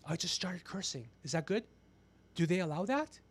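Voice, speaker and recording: A man's voice: high-pitched, animated, normal speed, slightly rough. A man in his fifties chatting casually on a livestream as host, brash and ranting, swearing freely. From Persian background. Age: 50s. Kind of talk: casual profane livestream chat.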